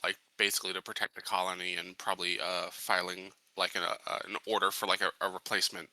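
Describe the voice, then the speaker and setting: A man's voice: deep voice, flat delivery, quick pace, sharp tone, poor audio. A man playing a tabletop game, explaining his character's actions in a casual, hesitant, conversational way.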